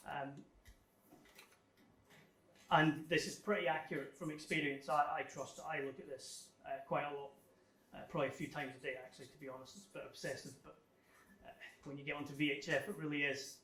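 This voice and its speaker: A man's voice: a little high, flat delivery, a little fast, pauses often, pushed and bright. A man giving an informal technical slide presentation to a club, explaining things in a conversational, somewhat hesitant way. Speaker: amateur radio club guest speaker. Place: indoor room, club talk to an audience. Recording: echoey room, distant microphone.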